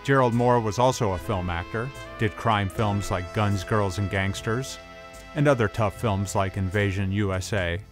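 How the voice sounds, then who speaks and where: A middle-aged man narrates a scripted film documentary in a low voice, with music faintly behind him. His wording is colloquial, salted with hardboiled slang. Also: normal speed. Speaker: host, tough guy film expert.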